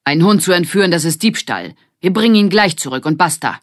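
A woman's voice: fairly low pitch, expressive, fairly quick, dry recording, studio quality, pitched above the performer's own voice. Woman reading as a stern, scolding father. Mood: angry. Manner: firm, scolding. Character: stern, irritated father of a young girl. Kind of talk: children's audiobook acting.

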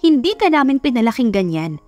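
A woman's voice: a little high, sing-song, fairly quick, a little mellow, no room sound, soft background music. A woman voicing an earnest middle-aged mother, firm and emotional as she pleads in an audiobook romance. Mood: pleading, reproachful. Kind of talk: dramatized audiobook romance reading.

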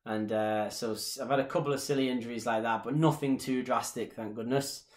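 A man's voice: mid-range voice, fairly even intonation, a little fast. A man in his thirties with a Mancunian Irish accent, chatting casually with viewers during a live stream from his living room. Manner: chatty, gestures a lot while talking. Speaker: dance instructor answering viewer questions. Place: small living room at home, indoors. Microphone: webcam at desk distance. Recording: roomy home webcam sound.

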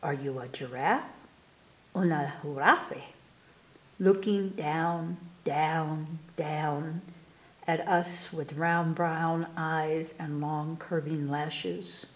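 A woman reading children's poems aloud at storytime, expressively and with careful, animated delivery for young listeners. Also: deep voice, reverberant. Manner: animated, unhurried, enunciating for children. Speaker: library storytime reader. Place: indoors in a room, book filmed on table.

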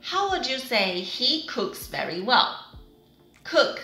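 A woman's voice: fairly high pitch, takes it slowly, echoey room, soft background music. A woman in her thirties from Taiwan with a Taiwanese accent, giving a cheerful online Mandarin lesson in English, which is not her first language, and talking with her hands. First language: Mandarin Chinese. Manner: cheerful, animated, gestures with both hands. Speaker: Chinese teacher. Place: small room with whiteboard, indoors.

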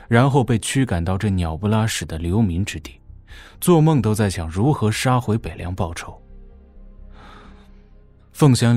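A low-voiced, fawning warlord. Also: male voice, a little mellow. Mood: servile, candid. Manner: deferential, colloquial, explanatory. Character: obsequious, shrewd male warlord reporting to his overlord. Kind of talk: audio drama acting.

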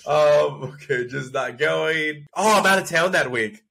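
A congested man in his thirties trading quick jokes as a podcast co-host. His voice is bright and forceful, close on a studio mic at home. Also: a little high, creaky. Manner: joking, quick banter. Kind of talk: casual chat. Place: home office, indoors. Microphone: large studio mic on arm, video call.

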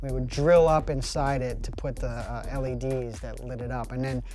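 A man in his thirties talks through his handmade props in a mellow, relaxed voice, chatty and loose with the odd "uh" and "like". Faint music plays under him in an indoor workshop. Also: mid-range voice, normal speed. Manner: relaxed, chatty, explaining with fillers. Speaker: prop maker. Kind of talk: casual show-and-tell narration. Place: indoor barn workshop with arcade machines.

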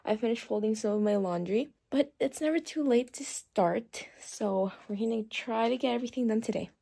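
A woman's voice: a little high, moderate pace, creaky, breathy edge. Chatty young woman vlogging at home. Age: young adult. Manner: relaxed, chatty, talks to the camera. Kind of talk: casual vlog narration. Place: home bedroom, indoors. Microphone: phone camera held close. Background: lo-fi background music.

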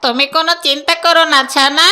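A woman voicing a sly, wicked, cackling fox in an animated fairy tale. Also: sits high, fast. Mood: sly, coaxing. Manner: gloating, cackling, falsely friendly. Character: sly, wicked predatory fox who tricks ducklings.

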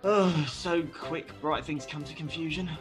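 A man's voice: a little high, measured pace, a bit bright, soft background music. An actor playing an ardent young male lover in a Shakespearean radio play, speaking verse with theatrical passion. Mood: tender, lamenting. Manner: impassioned, theatrical verse delivery.

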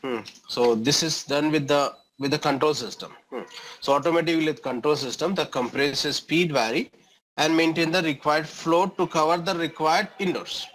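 A man's voice: on the high side, normal speed, fairly bright tone, low-quality recording. A middle-aged man with an Indian accent giving an explanatory lecture as an engineering instructor, often checking understanding with short questions and tags.

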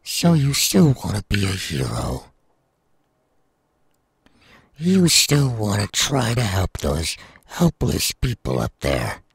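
A man playing a menacing, sadistic demon villain in an anime audio roleplay, taunting in a raspy, gravelly voice. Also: on the low side, wildly swooping pitch, no room sound, clean studio sound, full character voice. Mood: taunting, gloating. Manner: slow, taunting, drawn-out. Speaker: voice actor.

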